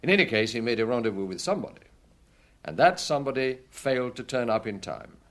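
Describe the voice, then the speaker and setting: An actor playing a shrewd, unflappable police inspector in a radio drama, measured, matter-of-fact and assured. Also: male voice, mid-range voice, expressive, close to an everyday voice. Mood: calm, reasoning.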